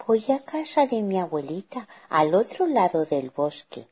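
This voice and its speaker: Casting: sweet trusting small girl for a fairy tale, raised pitch, sing-song. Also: big pitch swings, unhurried, darkish tone, breathy edge, heavily acted. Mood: cheerful, eager. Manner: childlike, sing-song, naive.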